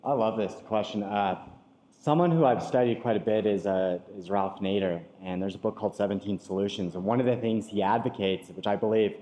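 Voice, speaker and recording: A man's voice: fairly low pitch, a little fast, mellow, reverberant. A man answering a forum question as a city candidate, earnest and a bit hesitant.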